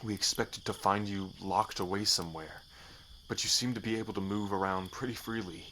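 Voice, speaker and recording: A loyal, hot-headed male rebel, played by a man in an ASMR roleplay. His voice is a little low, earnest and emphatic, protective of his people and bent on payback. Clear background ambience with insects surrounds it. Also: fairly even intonation, a little fast, bright, forceful tone, a little hiss or hum. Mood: relieved, earnest.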